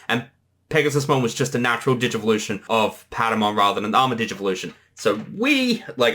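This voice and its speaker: A man's voice: mid-range voice, a bit bright. A man giving casual creator commentary on his own series.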